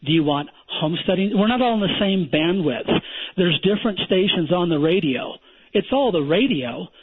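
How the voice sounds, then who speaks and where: A survival instructor, a guest on a radio show, talking bluntly and emphatically like a lecturer. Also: male voice, sits high, expressive, moderate pace, husky. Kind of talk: phone-in radio interview. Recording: thin phone line.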